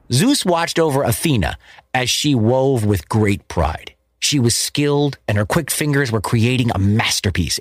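Animated male storyteller over soft music. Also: on the high side. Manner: theatrical, emphatic. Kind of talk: dramatic scripted narration of a myth for children.

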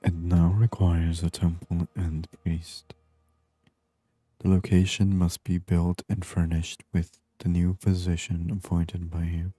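A man giving soft-spoken ASMR gameplay commentary in a hushed, unhurried murmur, at times near a whisper. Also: low-pitched, completely flat delivery, dry recording, faint music behind. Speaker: gaming video host.

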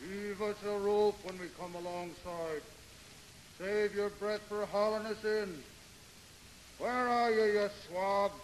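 Bossy shipwrecked sailor: high-pitched, brash, long echo, vintage radio drama. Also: male voice, fairly even intonation, slow pace. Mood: bossy, irritated. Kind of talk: 1930s radio drama acting. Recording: echoing, hissy 1930s radio recording.

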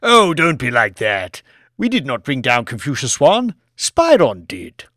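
A man voicing an imperious, evasive older English lord in a dramatised audiobook, with a grandiose, emphatic, drawn-out delivery. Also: mid-range voice, wildly swooping pitch, a bit bright, dry recording, studio quality, exaggerated voice. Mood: breezy, evasive.

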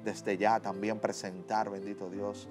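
A low-pitched man with a Dominican Spanish accent leads a studio prayer, slow and fervent, repeating each invocation. Soft piano plays clearly under his voice. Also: flat delivery. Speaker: host. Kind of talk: leading a spoken prayer on a TV show. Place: TV studio set. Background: soft piano music.

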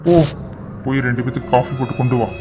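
A man's voice: mid-range voice, completely flat delivery, fast talker, over music, natural voice. A young man acting a stern, disappointed husband who reproves his wife in a family melodrama. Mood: bitter, disappointed. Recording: dull sound with faint background noise.

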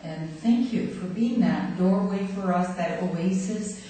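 A middle-aged woman speaks warmly and earnestly from a chapel stage, thanking and welcoming. Her voice sits a little low and reaches the mic from a distance, with heavy hall echo and faint background noise. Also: slow. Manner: heartfelt, talks with her hands, laughs easily. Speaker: guest speaker, medium. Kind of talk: spiritual service address. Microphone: podium mic, far camera.

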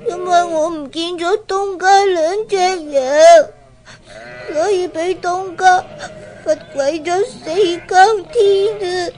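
Young orphan boy, very high thin voice, slow plaintive delivery, earnest, Hong Kong Cantonese, radio drama. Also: a little mellow. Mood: tearful, pleading. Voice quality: thin, childlike. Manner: plaintive, whimpering.

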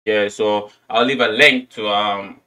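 A young man with a Ghanaian accent, giving animated, slangy commentary as host of a casual music reaction. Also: fairly low pitch, unhurried. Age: young adult. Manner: animated, slangy, repeats lyrics. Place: small furnished room at home. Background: some hip hop music.

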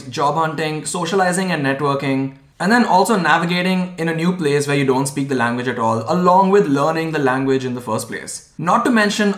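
A young man talks straight to the camera, laying out numbered points in a clear, earnest explainer style. His voice sits a little high for a man. The small indoor room gives it a noticeable echo. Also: a bit bright. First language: English. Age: young adult. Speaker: vlogger. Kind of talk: informative talking-head vlog. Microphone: camera framed at chest level.

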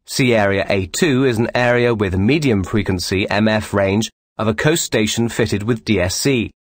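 Male instructional narrator, lower register, measured formal delivery, very dry close studio sound. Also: no room sound.

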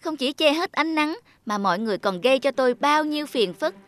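A woman's voice: high voice, expressive, a little fast. A woman voicing a whiny, manipulative young female flower in a children's cartoon, plaintive and complaining. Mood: self-righteous complaining. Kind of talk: children's moral cartoon dubbing. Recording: loud music under the voice.

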